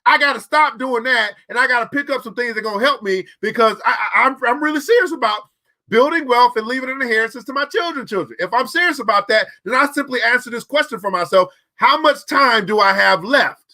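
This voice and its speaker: A man's voice: extremely high pitch, animated, a little fast, pushed and bright. A man in his forties hosting a show, giving a preachy motivational talk about money and repeating phrases for emphasis. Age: 40s. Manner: preachy, repeats phrases, talks straight to the audience. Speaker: podcast host.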